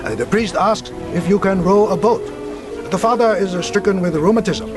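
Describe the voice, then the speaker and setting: An actor playing a courteous official and interpreter, formal, deferential and slightly hesitant, in a radio drama. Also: male voice, sits high, moderate pace, loud music behind the voice, lightly acted.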